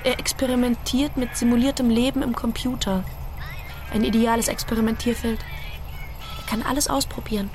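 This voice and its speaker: A woman's voice: a little high, fairly even intonation, fairly quick, darkish tone, natural voice. An actress playing a woman scientist in a radio drama monologue, candid, confiding and self-doubting. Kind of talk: intimate first-person radio drama monologue. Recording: music behind the voice.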